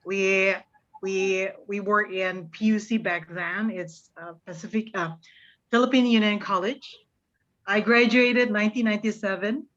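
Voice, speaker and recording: Through slightly muffled online meeting audio, a middle-aged woman from Indonesia speaks with an American-influenced twang at a medium pitch. She sounds like a teacher, giving informal, encouraging advice. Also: fairly even intonation, unhurried, a bit bright.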